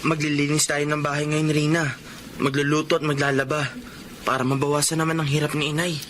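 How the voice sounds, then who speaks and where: A man's voice: on the high side, flat delivery, fairly quick, music in the background, natural voice. A young man with a Filipino accent playing an earnest, devoted, respectful son in a radio drama. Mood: caring.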